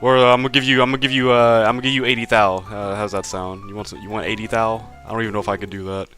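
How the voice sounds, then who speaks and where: A man's voice: lowish voice, steady tone, very fast, fairly bright tone, faint music behind. A young man drawing live on stream, chatting casually with viewers, stammering and repeating words, laughing often.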